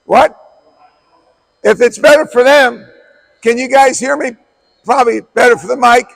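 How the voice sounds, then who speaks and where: Hoarse, high, gravelly-voiced man coach. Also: moderate pace, a bit bright, rough recording. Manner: blunt, emphatic, repeats key words. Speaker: basketball coach. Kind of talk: coaching clinic talk.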